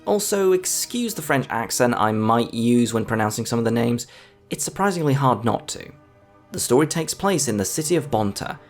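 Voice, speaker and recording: Animated man narrating a video essay. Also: mid-range voice. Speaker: video essayist, film reviewer. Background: background music.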